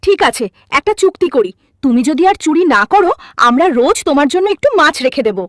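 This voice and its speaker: A woman's voice: sits high, very animated, quick pace, fairly bright tone, no echo. A woman playing an accusing, sceptical wife in a comic cartoon, scolding and exclaiming. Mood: bargaining.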